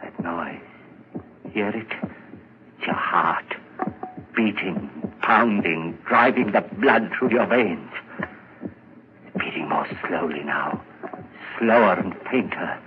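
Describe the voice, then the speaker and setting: A man's voice: sits low, lively intonation, takes it slowly, long pauses. An actor playing a tense male murderer in a 1940s radio drama, talking to himself in an obsessive, menacing half-whisper. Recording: muffled old radio broadcast with hiss.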